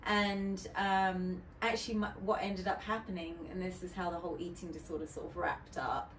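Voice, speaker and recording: A woman's voice: fairly low pitch, steady tone, normal speed. Candid woman, roomy distant sound. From United Kingdom. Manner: candid, chatty, many fillers and restarts. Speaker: guest DJ. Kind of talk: casual podcast interview. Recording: roomy, distant mic with faint noise.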